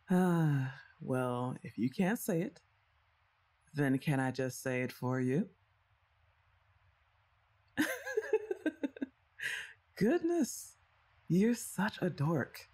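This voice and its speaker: Unhurried, breathy young woman; a woman voicing a playful older sister who teases softly in an ASMR roleplay. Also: low voice, full character voice. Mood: teasing. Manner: playful, teasing, soft-spoken.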